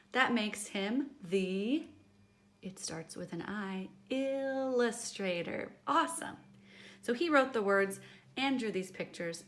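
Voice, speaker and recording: A woman's voice: on the high side, a bit bright. A woman hosting a children's story time, speaking to young listeners in a teacherly way with prompting questions. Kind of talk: read-aloud addressed to young children.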